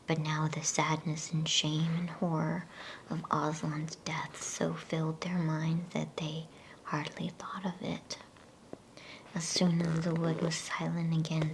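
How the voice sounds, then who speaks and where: A woman whispering a bedtime story in ASMR style, with a hushed, breathy, gentle delivery. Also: low-pitched, rough recording. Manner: slow, hushed, gentle pacing. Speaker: storyteller reading a bedtime book. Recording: faint background noise.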